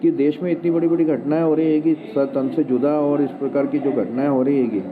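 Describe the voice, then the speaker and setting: A man's voice: a little high, steady tone, fairly quick, crowd noise. A man giving a spontaneous account of events as an interviewee, on a phone-like recording. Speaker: interviewee recounting an attack. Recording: muffled phone-like sound, loud background noise.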